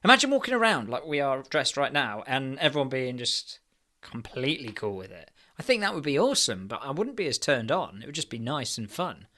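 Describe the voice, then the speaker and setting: A man's voice: mid-range voice, sing-song, brisk, sharp tone. A man co-hosting a casual podcast, speaking earnestly and questioning himself as he goes. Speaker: podcast co-host.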